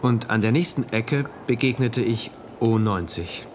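A man's voice: medium pitch, measured pace, slight background noise, lightly acted. An actor playing an earnest engineer in a regimented future state, narrating his diary in a precise, introspective way for a radio play. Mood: measured, proud.

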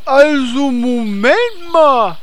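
Woman acting naive male warrior, high whiny voice, slow delivery, grumbling, comedy audio play. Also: slight background noise. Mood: indignant. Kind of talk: comic audio drama acting.

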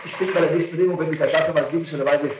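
Hurried male defence lawyer, echoing old tape. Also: a little high, steady tone. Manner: hurried, courteous, repetitive, insistent. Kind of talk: spontaneous talk at a formal questioning. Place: hard echoing room, distant microphone. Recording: noisy echoey distant 1960s tape recording.

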